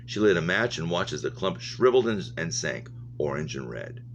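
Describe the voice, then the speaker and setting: A man's voice: deep voice, very animated, moderate pace. A man reading a novel aloud, stumbling over words now and then and going back over phrases. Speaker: reader, English teacher. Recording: muffled lo-fi audio with humming noise.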